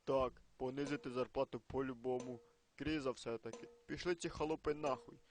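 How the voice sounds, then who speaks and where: A man acts out a greedy cartoon tycoon. The delivery is cynical, crude and scheming, at an average male pitch. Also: steady tone, moderate pace, faint music behind, natural voice. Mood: scheming, callous. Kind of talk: adult cartoon monologue.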